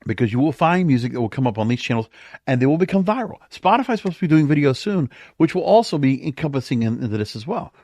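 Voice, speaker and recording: A man's voice: mid-range voice, very animated, fast, darkish tone, dry recording, studio quality. A man hosting a podcast, giving casual solo commentary in an emphatic way, full of rhetorical questions. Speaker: podcast host.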